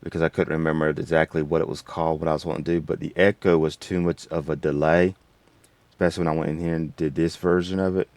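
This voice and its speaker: A man hosting a casual, unscripted software tutorial in a rambling, folksy way. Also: very deep voice, flat delivery, quick pace, dry recording. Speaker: tutorial host.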